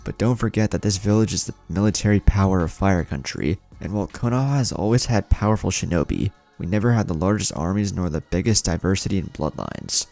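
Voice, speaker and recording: Man reading as composed young ninja woman, low-voiced. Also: a little fast, gravelly, few pauses, faint music behind. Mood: soft, patient. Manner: calm, explanatory. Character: composed young kunoichi woman explaining secret matters.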